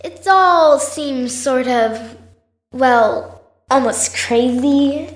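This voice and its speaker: A woman's voice: high-pitched, very slow, no echo, studio quality, full character voice. A female performer playing an earnest, anxious young girl in an audio drama, with hesitant, wide-eyed delivery.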